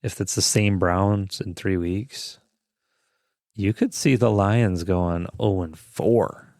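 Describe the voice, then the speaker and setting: A man's voice: deep voice, slow pace, darkish tone, no echo, clean studio sound. A man co-hosting a podcast, chatting casually about sports in short, loose, conversational phrases. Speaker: podcast co-host.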